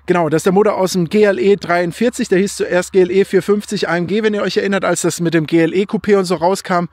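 Excitable young man rambling about his car. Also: sits high, quick pace, no room sound. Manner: enthusiastic, rambling, thinks aloud and corrects himself. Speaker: car vlogger. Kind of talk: casual car review vlog. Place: outdoors by a car in a snowy field.

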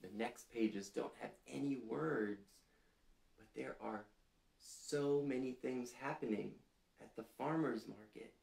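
A man in his thirties reading a picture book aloud to young children in a gentle, deliberate way, putting simple questions to them. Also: medium pitch, vocal fry. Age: 30s. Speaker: storytime reader for children. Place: small indoor living room, seated. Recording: roomy echo.

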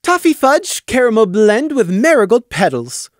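A man voicing a bubbly, pushy female unicorn mayor who chatters brightly and bosses everyone around. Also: sits high, very animated, no room sound, studio quality, voice pushed up. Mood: bubbly bossy. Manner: bright, bossy, rapid-fire chatter.

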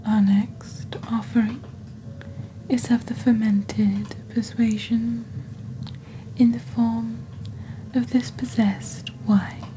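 A woman playing a composed, eerie witch saleswoman in a hushed, deliberate ASMR whisper, close to the microphone. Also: mid-range voice, a little hiss or hum, low-quality recording. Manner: slow, deliberate, hushed storytelling delivery. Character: female witch, eerie, composed seller of cursed wares. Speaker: ASMR host. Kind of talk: soft-spoken whispered ASMR, scripted shopping-channel roleplay. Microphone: close studio microphone on a desk.

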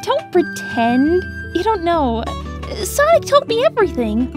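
Music plays under a sweet cartoon hedgehog lady with an extremely high voice. She is perky, cutesy and gushing, with lively swings in pitch. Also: a little slow, exaggerated voice. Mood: friendly, grateful. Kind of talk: animated comic dub acting. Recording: music bed behind voice.

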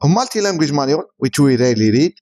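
Male tech reviewer, native Arabic speaker, higher-pitched voice with lively intonation, scripted product voice-over. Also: fast talker.

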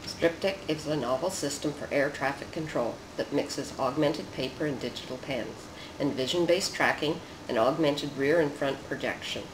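A narrator of medium pitch reads a scripted technical demonstration. The delivery is measured and explanatory. The recording is roomy and echoey, with noticeable noise behind the voice. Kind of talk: scripted narration of a research demo.

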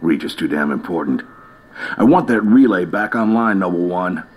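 An actor playing a stern, commanding military colonel in a video game, speaking in a formal, clipped, authoritative way. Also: male voice, low voice, expressive, moderate pace. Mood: stern, urgent. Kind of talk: cinematic game dialogue.

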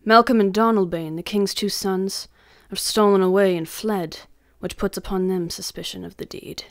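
A woman's voice: mid-range voice, steady tone, normal speed, lightly acted. A woman playing a grave, passionate Scottish noble in a Shakespearean radio drama. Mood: grim, wary.

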